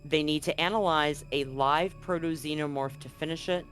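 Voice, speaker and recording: Man, game master, slightly high pitch, tabletop narration, reading handouts, music in the background. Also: fairly even intonation, normal speed, a bit bright.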